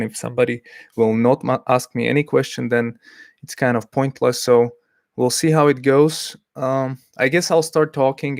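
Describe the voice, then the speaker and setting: A man hosting a casual livestream, chatty and a little hesitant as he reads out messages from the chat. His voice sits at an ordinary middle pitch. The sound is dry and close, with no room echo. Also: gravelly. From Slovakia. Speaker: livestream host.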